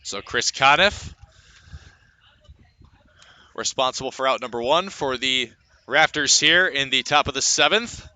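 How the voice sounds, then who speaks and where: Bright, forceful male baseball play-by-play announcer. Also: a little slow, pauses often. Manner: lifts into excitement on plays, conversational between pitches. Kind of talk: live baseball play-by-play commentary.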